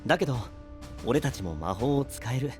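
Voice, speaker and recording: A kindly young knight, acted by a man with a mid-pitched, lively voice. He talks in an easygoing, reflective way in an audio drama, over faint music. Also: normal speed, lightly acted. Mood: thoughtful. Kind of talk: Japanese anime-style voice drama. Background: background music.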